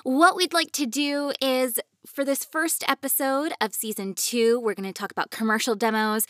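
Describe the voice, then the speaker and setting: A woman's voice: on the high side, fairly bright tone, dry recording, clean studio sound. A woman presenting a podcast as host in a scripted, presentational style. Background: music bed.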